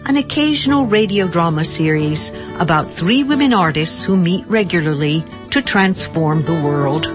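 Low-voiced woman radio host. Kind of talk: radio host intro and radio drama. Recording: dull lo-fi radio with guitar music.

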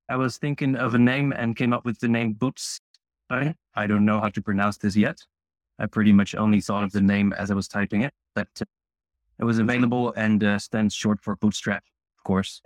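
A man hosting a live audio chat, speaking in a measured, slightly hesitant and friendly way. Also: fairly low pitch, no echo. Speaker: podcast host. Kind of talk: casual hosting of an audio space.

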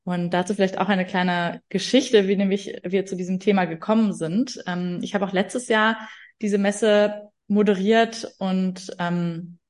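A woman explains how a fair's theme came about, telling the story in a steady, measured way. Her voice sits at an ordinary middle pitch for a woman. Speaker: event moderator. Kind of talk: podcast interview.

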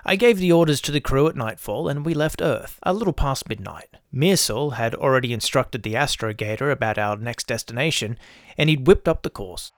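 A man's voice: mid-range voice, expressive, a little fast, dry recording, clean studio sound. A man hosting and narrating scripted science fiction audio stories in the first person. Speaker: host and narrator.

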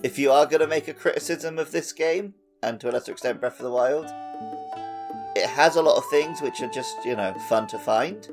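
A man's voice: medium pitch, a little fast, music in the background. A man co-hosting a gaming podcast, bantering casually in a wry, joking manner. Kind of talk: casual banter about a video game.

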